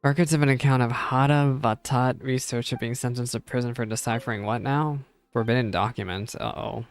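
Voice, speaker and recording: A man's voice: medium pitch, steady tone, a little fast, slight background noise. A man streaming a game, reacting casually, musing to himself and reading text aloud, often repeating phrases.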